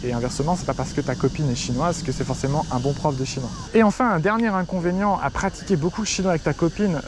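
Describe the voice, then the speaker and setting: A man's voice: a little high, noisy background. A young French man who teaches Chinese, giving casual, direct advice straight to the viewer outdoors in front of a city skyline. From France. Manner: chatty, direct, addresses viewer as tu. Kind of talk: casual talking-head advice video.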